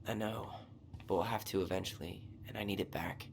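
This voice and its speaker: A man acting in a video game as a guarded, wry young American man, with understated, dry delivery and a gravelly, hushed voice. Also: low-pitched, fairly even intonation, brisk. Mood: serious, determined. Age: young adult. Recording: faint noise, streamed game audio.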